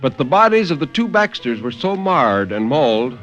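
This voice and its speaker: A man reading horror tales in an intense, deliberate, theatrical 1950s radio storytelling style. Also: mid-range voice, very animated, fairly bright tone, lo-fi sound, soft background music. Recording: noisy vintage 1950s radio recording.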